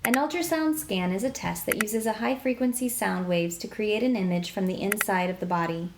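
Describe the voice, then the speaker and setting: A woman narrating an interactive children's book in a clear, explanatory way, slowing down to spell out medical terms. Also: mid-range voice. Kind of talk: scripted read-aloud narration for kids. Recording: clear background noise.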